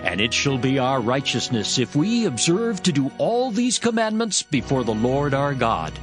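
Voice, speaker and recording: A man's voice: mid-range voice, very animated, moderate pace, lightly acted. An actor playing a grave, devout father who solemnly recounts his people's deliverance in dramatized scripture. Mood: reverent, solemn. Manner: solemn, declamatory storytelling. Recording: prominent music behind the voice.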